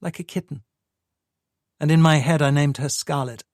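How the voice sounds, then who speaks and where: A man's voice: fairly high pitch, fairly even intonation, normal speed, darkish tone, dry recording, clean studio sound, pitched above the performer's own voice, lightly acted. A man voicing a cold, cutting woman. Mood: cool, cutting. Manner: cool, cutting, dismissive. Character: cold, evasive woman in her thirties. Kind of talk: audiobook character reading.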